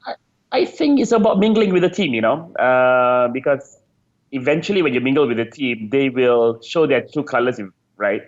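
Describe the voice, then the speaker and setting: A man's voice: mid-range voice, expressive, moderate pace, muffled recording. A man being interviewed as a game designer, talking casually and chattily, often repeating words as he searches for phrasing.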